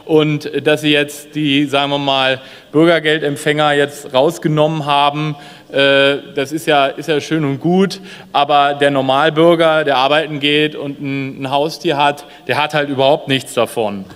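A man's voice: on the high side, little pitch movement, normal speed, a bit bright, smooth. A man giving a speech in parliament at a lectern. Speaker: member of a state parliament. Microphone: lectern microphones. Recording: long hall reverb of parliament chamber.

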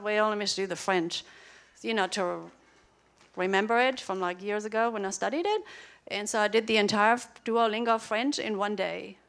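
A woman with a slightly high voice presents to a hall full of teachers. German is her first language, and she keeps it casual and chatty. She throws quick questions at the audience and laughs as she goes. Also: fairly bright tone. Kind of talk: conference workshop talk. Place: large lecture hall.

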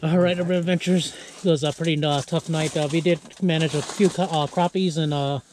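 Man, casual vlog host, slightly high pitch, encouraging, noisy camera audio. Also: fairly even intonation, a little fast, darkish tone. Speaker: fishing channel host. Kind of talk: casual outdoor vlog chat. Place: frozen lake and ice fishing tent.

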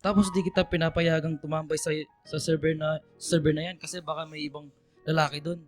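A man's voice: fairly high pitch, level tone, brisk, natural voice. Irritable, controlling Filipino boyfriend, acted by a man, music underneath. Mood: sulky, accusing. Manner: curt, nagging, defensive. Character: irritable, controlling older boyfriend, gamer, dismissive and defensive. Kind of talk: Filipino radio drama acting.

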